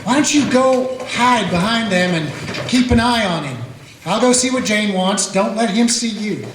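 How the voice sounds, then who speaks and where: A man's voice: high voice, lively intonation, unhurried, a bit bright, a little hiss or hum. A man playing a plain-spoken old-west cowboy who takes charge, in a community radio play. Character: steady frontier cowboy man who leads the chase. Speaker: narrator and lead character. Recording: echoey room with guitar music.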